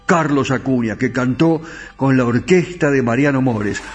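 Argentine guy hosting radio, chatty storyteller, middle pitch, faint music behind. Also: normal speed. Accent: Argentine Spanish. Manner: chatty storyteller, asks listeners questions. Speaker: radio host. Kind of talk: radio talk about tango history. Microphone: studio microphone.

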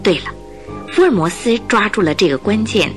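A female narrator tells a radio drama story with expressive, very animated intonation. Her register is medium for a woman, and soft music sits faintly behind her. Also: medium pitch, a little fast.

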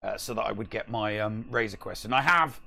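A man's voice: fairly low pitch, fairly quick, vocal fry, sharp tone. A man co-hosting a casual gaming podcast, talking in a rambling, emphatic way and repeating himself for stress. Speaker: podcast co-host.

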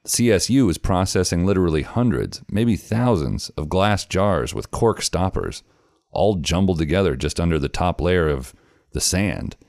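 A man, the show's host, reading a scary story aloud in a measured, grave storytelling manner. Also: low voice, animated, darkish tone, dry recording, studio quality. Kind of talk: scripted narration of a horror story.

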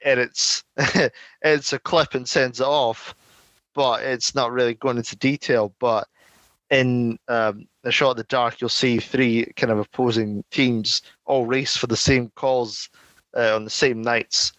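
A man's voice: mid-range voice, fairly even intonation, unhurried, fairly bright tone, no room sound, rough recording. A man co-hosting a podcast, chatting casually and explaining things at length with frequent laughs. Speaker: podcast co-host. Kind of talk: casual chat.

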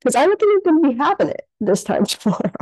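A woman's voice: sits high, big pitch swings, fast, a little mellow, no echo. A young woman with a head cold, chatting casually as a podcast co-host, sounding stuffed up and filling her talk with "like". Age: young adult. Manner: chatty, lots of "like" fillers. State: sick, congested. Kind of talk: casual chat.

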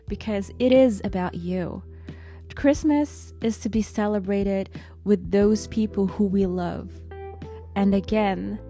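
Woman podcast host, warm mellow voice, earnest and encouraging, faint music under her. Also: medium pitch. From Germany. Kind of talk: solo podcast monologue.

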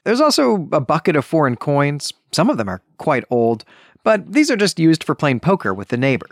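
A man's voice: a little high, sing-song, normal speed, no room sound, studio quality. A man hosting a solo book club podcast, talking conversationally with self-correcting asides. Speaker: podcast host.

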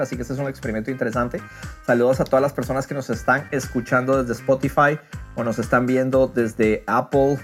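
Un hombre que conduce un podcast de charla informal, contando las cosas con animación y entusiasmo. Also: male voice, medium pitch. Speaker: podcast host. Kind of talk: casual podcast interview.

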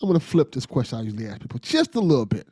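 A man's voice: medium pitch, sing-song, racing, mellow, husky, no echo, studio quality. A man hosting a casual interview, chatting in a playful, teasing way. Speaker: podcast host interviewer.

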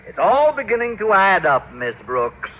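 An actor playing a stern, exasperated school official in an old-time radio sitcom, imperious and sarcastic. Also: male voice, high voice, very animated, normal speed, phone-quality sound. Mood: sarcastic exasperation. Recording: thin, faintly noisy vintage radio.

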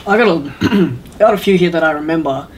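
A man's voice: a little high, fast talker. A man talks casually as a podcast co-host. His manner is laid-back, slangy and enthusiastic, and there is faint noise behind his voice.

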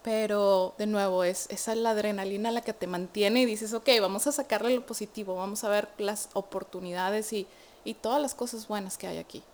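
A young Mexican woman with a Mexican Spanish accent, a guest in an interview, telling her story in an animated way with many fillers. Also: mid-range voice, a little fast. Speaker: guest, immigrant to Canada. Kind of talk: casual interview chat.

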